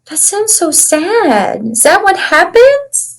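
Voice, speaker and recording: Female children's read-aloud teacher: high register, very measured pace, animated delivery, chatty asides to young listeners. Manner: animated storytelling, asking children simple questions.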